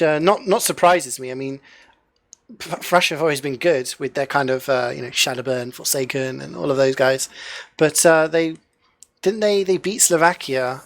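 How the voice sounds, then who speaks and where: A man casting a live online game match in a relaxed, chatty way, leaning on filler phrases and light jokes. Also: on the high side, dry recording. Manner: chatty, heavy use of "you know" fillers, joking. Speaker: esports caster. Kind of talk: casual live game commentary.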